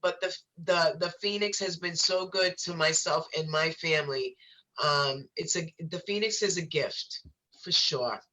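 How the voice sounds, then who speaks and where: A woman's voice: low-pitched, a little slow, pushed and bright, low-quality recording. A woman, a recovery organization guest speaking with passion in a casual live-stream chat, repeating herself for emphasis.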